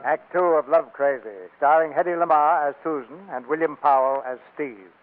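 A man's voice: a little high, normal speed, over loud music. A man narrating as announcer in a formal, theatrical 1940s radio style, on a muffled old broadcast.

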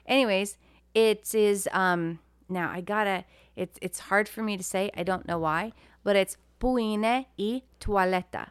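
A woman who grew up speaking Greek, co-hosting a casual podcast chat, animated and self-deprecating, often laughing. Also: medium pitch, measured pace, clean tone, dry recording, clean studio sound. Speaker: podcast co-host.

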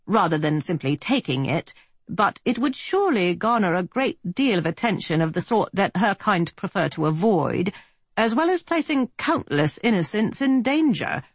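A woman's voice: medium pitch, sing-song, husky, dry recording, pitched above the performer's own voice. A woman voicing a polished, well-to-do British man with a pleasant tenor, speaking formally and evenly. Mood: even, pressing. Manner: measured, formal, slightly rushed. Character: polished upper-class British man, even-toned negotiator.

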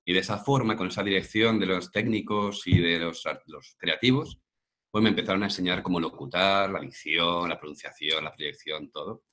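Guy around 40 from madrid, castilian accent, low voice, voice actor chatting in an interview. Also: moderate pace, a bit bright. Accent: Castilian Spanish from Madrid. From Madrid, Spain. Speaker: interview guest, professional voice-over artist. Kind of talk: conversational interview answers.

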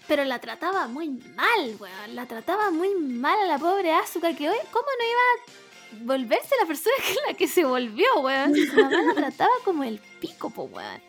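A Chilean woman co-hosting a casual, irreverent talk show in a slangy, sweary, giggly way. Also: high voice.

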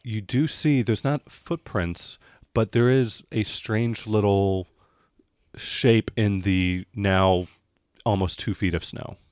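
Lower-voiced man narrating as game master. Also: steady tone, slow pace, darkish tone, smooth, no echo, clean studio sound. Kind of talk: improvised descriptive game narration.

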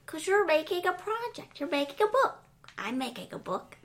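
A woman's voice: extremely high pitch, big pitch swings, normal speed, fairly bright tone, heavily acted. A woman voicing a naive, earnest little boy in an improv comedy bit, talking bluntly and matter-of-factly. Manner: blunt, matter-of-fact kid talk.

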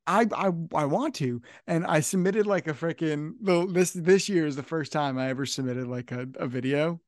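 A man's voice: fairly high pitch, moderate pace, dry recording, studio quality. A young man hosting a casual podcast interview, enthusiastic and repeating his phrases as he talks. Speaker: podcast host.

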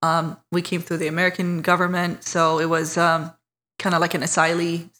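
A woman's voice: on the low side, completely flat delivery, normal speed. A woman from Iraq, a podcast guest telling her life story in a candid, self-mocking way. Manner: warm, candid, laughs at herself. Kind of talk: casual interview storytelling.

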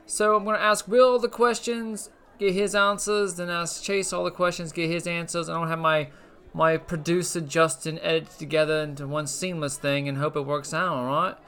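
A man voicing a rambling, laid-off cousin who now hosts a podcast game show, with a high put-on voice and slangy bluster. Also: steady tone, normal speed, fairly bright tone, smooth. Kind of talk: comedic character monologue on a podcast. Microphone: podcast mic.

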